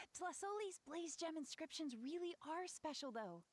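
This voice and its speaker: A woman's voice: very high voice, very animated, slow, full character voice. A woman playing a friendly, upbeat young villager in a scripted video game, with an earnest, encouraging manner. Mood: earnest, admiring. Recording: voice over faint game music.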